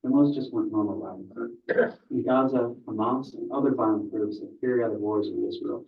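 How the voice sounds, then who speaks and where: A man giving measured, grave scripted narration for a documentary video. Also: on the low side. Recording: distant echoey playback, dull sound.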